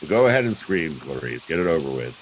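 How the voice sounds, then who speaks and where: An actor playing an impatient, sardonic private detective in a brusque, slangy 1940s radio drama style. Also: male voice, rumbling low, sing-song, fast talker, a little hiss or hum, low-quality recording. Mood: impatient, urgent.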